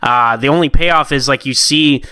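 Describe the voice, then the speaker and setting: A man co-hosting a podcast in casual review chat, skeptical and halting as he works through his points. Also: medium pitch, sharp tone, clear, resonant tone, dry recording, clean studio sound. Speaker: podcast co-host.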